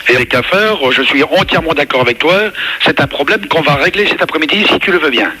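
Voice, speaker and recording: A man answers the phone as a union official, stumbling over his words but staying patient and conciliatory. His voice has a bright, forceful edge. Faint noise sits under the radio call. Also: a little high, expressive, fairly quick. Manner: patient, hesitant, conciliatory, lecturing. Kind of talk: phone conversation.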